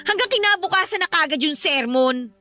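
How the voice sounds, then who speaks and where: A woman's voice: very high-pitched, animated, brisk, bright, forceful tone, soft background music, full character voice. A woman with a Filipino accent playing a frustrated, plaintive eighteen-year-old daughter in a radio drama. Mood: hurt, complaining. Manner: plaintive, complaining.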